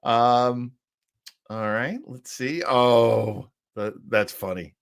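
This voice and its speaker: A man's voice: mid-range voice, extremely slow, creaky, clean tone, no room sound, clean studio sound. A man hosting an old-time radio listening show, chatting casually in a rambling way full of asides. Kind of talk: casual live-stream chat.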